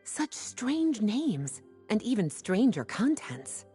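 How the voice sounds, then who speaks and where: A woman's voice: medium pitch, very animated, slow pace, warm tone, soft background music. A woman playing a worldly, teasing librarian in an anime game, with a languid, coy delivery. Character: sultry, teasing, worldly adult female librarian.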